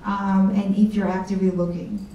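A woman's voice: medium pitch, flat delivery, moderate pace, dark tone, dull audio, a little hiss or hum. A woman speaking as a company co-founder on a panel, with many fillers and restarts, into a handheld mic. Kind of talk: panel discussion answers. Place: large event room with audience. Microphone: handheld mic, far camera. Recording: echoey room recorded from far off.

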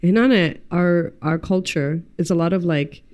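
A woman, a graphic designer chatting as a podcast guest, animated and loose, swearing and dropping Arabic words into her English. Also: on the low side, normal speed, clear, resonant tone. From United States. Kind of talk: casual interview chat. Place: studio room with pinned boards. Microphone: desk mic on stand, headphones.

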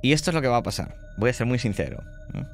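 A man running a tabletop horror game as game master, laying out options in a measured way and checking in often. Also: medium pitch, very animated, faint music behind.

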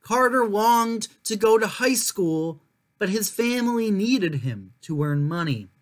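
A young man reading a children's picture book aloud as a storyteller, in measured, clearly phrased narration. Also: high-pitched, expressive. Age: young adult. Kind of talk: scripted narration, story time read-aloud.

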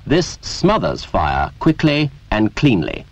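A British man reading a scripted 1970s training film in a formal, measured, emphatic way, as a narrator. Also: on the low side, wildly swooping pitch. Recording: dull, lo-fi 1970s 16mm film soundtrack.

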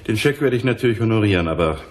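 An actor playing a discreet, authoritative wealthy client in a crime radio play, firm and measured. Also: male voice, fairly low pitch, animated, darkish tone, slight background noise, lightly acted.

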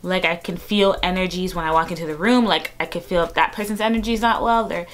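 A woman's voice: fairly low pitch, steady tone, fast talker. A woman in her twenties talking casually to camera from her bedroom, upbeat and chatty with lots of fillers. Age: 20s. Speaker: vlogger. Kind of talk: casual vlog talk to camera. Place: bedroom, indoors.

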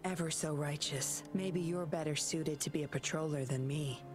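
A secretive young puppeteer woman who teases everyone around her, voiced by an actress. Her voice sits very low for a woman and stays smooth and knowing. Soft game music plays underneath. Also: moderate pace, lightly acted. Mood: teasing. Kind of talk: game voice acting.

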